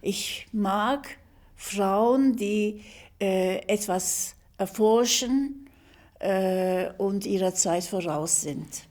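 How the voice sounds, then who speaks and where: A woman in her seventies giving an interview answer, slow and halting with many pauses. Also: medium pitch, darkish tone. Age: 70s. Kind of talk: reflective interview clip.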